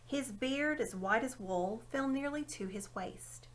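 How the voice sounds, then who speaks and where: A woman giving an expressive read-aloud narration of a children's fantasy story. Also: a little high, measured pace. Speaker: storybook reader.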